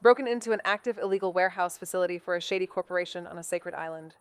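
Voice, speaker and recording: A woman's voice: lowish voice, racing, smooth, no echo, lightly acted. An actress in her twenties playing a cocky, playful amateur sleuth in an audio drama, glib and given to rambling, dramatic overexplaining. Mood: dramatic, nervous. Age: 20s. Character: cocky, playful, self-taught young woman amateur sleuth. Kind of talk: naturalistic audio drama banter.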